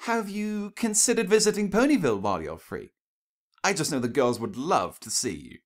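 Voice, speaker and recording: A man's voice: fairly high pitch, sing-song, moderate pace, voice pushed up. A man voicing a genteel, gushing female cartoon pony in a storybook reading, refined and anxiously polite. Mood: forced politeness. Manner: refined, effusive, over-polite.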